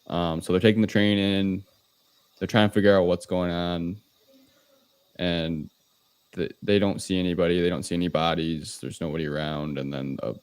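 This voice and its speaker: A male podcast co-host with a very deep voice chats casually about a film. He recounts things loosely and a bit hesitantly, and the sound is dry and free of room echo. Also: creaky. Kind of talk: casual film review chat.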